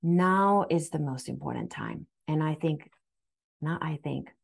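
A woman in her forties whose first language is Japanese gives an earnest, gentle spiritual talk to camera from a room at home, often restarting her words. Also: sits low, animated, measured pace, gravelly. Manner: soft, earnest, with frequent restarts and fillers. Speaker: spiritual astrology guide. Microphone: webcam framing, seated facing camera.

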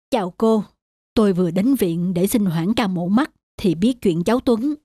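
A woman's voice: medium pitch, measured pace, dark tone, dry recording, studio quality, lightly acted. A woman voicing a humble, kind middle-aged woman in a cartoon, speaking politely. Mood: gentle. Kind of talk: cartoon voice acting.

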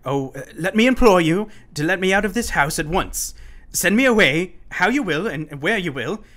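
An actor playing an unsettled, pleading asylum inmate in a radio play, speaking formally and urgently. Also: male voice, high-pitched, lively intonation, normal speed, fairly bright tone. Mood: desperate pleading. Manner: formal, urgent, given to sudden chuckles.

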